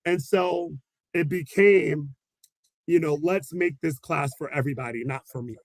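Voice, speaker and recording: Animated, laughing man telling stories. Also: on the high side, moderate pace, no echo. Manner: animated storyteller, laughs often, acts out quotes. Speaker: special education teacher and guest. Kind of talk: conversational interview answers.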